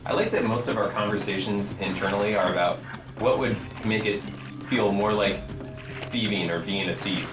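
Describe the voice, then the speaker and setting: A man's voice: fairly low pitch, moderate pace. A man giving casual developer commentary over gameplay, cracking dry, wry jokes. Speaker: game developer. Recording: roomy, distant mic, game music behind.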